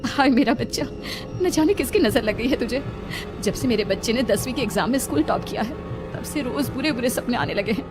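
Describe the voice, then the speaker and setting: A woman's voice: a little high, expressive, fairly quick, over music, natural voice. A woman voicing a frightened, protective mother in a cartoon horror story, with urgent, emotional delivery. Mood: worried. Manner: urgent, tearful pleading. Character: frightened, protective village mother pleading for her son.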